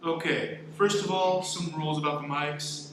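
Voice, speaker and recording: A somewhat high-voiced man acting as a young student sound tech in a radio play, brisk and no-nonsense. He is in a very echoey room with faint noise. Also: steady tone, a bit bright, close to an everyday voice. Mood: businesslike. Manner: brisk, instructive. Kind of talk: amateur radio drama acting.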